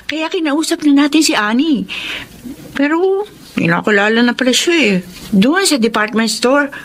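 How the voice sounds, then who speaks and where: A chatty, gossipy young Filipino woman, the nudging friend in a radio romance drama. She has a fairly high voice and a conspiratorial, matchmaking manner. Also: lively intonation, normal speed.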